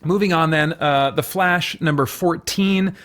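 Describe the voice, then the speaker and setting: A man's voice: fairly high pitch, a little slow, a bit bright. A man hosting a review show, talking casually in unscripted commentary and searching for his words as he thinks aloud. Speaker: host of a comic book review show.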